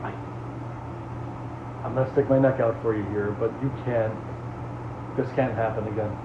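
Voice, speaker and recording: A man's voice: on the low side, steady tone, lightly acted. Tinny, echoey man acting a weary refuge leader. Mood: earnest, firm. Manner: earnest, halting, gently reprimanding. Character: weary, patient male leader of an underground refuge. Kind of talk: horror series acting. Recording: tinny, echoey, noisy lo-fi audio.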